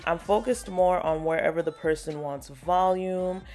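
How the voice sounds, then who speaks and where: A woman's voice: on the low side, normal speed, a little mellow, soft background music. A woman hairstylist narrating her salon vlog in a casual, chatty way, walking viewers through her steps. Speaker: natural hairstylist.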